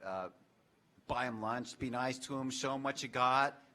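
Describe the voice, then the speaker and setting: Bright-voiced man giving casual advice. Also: medium pitch, a little slow. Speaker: show music composer. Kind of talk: giving career advice on a panel. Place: convention panel room.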